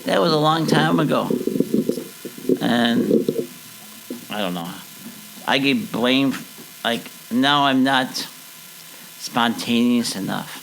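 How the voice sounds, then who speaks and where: A man's voice: mid-range voice, expressive, slow, vocal fry, long pauses, a bit bright, a little hiss or hum, low-quality recording. A man with a Midwestern accent, co-hosting a casual chat, hesitant and self-deprecating. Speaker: co-host.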